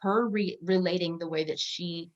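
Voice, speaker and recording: A woman's voice: fairly low pitch, fairly even intonation, moderate pace, highs cut off. A woman co-hosting a conversational Bible discussion in a thoughtful, reflective way. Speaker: podcast co-host.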